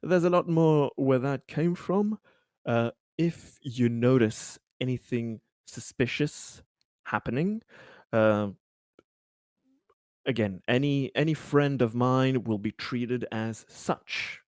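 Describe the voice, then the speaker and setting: A man playing a polite corporate director in a tabletop game, speaking haltingly with careful, diplomatic wording. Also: medium pitch, darkish tone, no echo, studio quality, natural voice. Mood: slyly reassuring. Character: polite, hesitant male corporate director offering quiet bribes.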